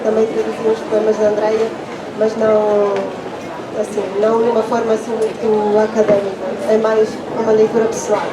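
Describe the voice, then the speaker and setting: A woman's voice: a little high, completely flat delivery, normal speed, heavy background noise. A young adult woman, speaking Portuguese as a second language, talks hesitantly and thoughtfully about poetry at a book launch, with many restarts and fillers. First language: not Portuguese. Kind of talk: unscripted talk at a book launch. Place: book fair in a public space. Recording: echoey, mic far off, loud crowd behind.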